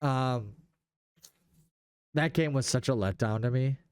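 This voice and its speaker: A man's voice: medium pitch, slow pace, smooth, dry recording, clean studio sound. A young man in casual gaming chat, speaking in a slangy, enthusiastic way. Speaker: podcast host.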